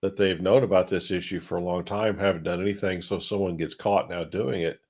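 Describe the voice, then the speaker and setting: Deep husky-voiced man, rambling casually. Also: steady tone, a little fast, a little mellow. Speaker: co-host of a tech panel show. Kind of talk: casual technical discussion.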